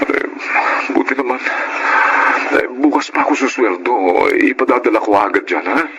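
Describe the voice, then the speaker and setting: A man's voice: medium pitch, lively intonation, moderate pace, very noisy, lightly acted. A man with a Filipino accent acting a humble, long-suffering family driver in a radio drama, earnest and deferential. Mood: gentle, humble. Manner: apologetic, hesitant, earnest. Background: music.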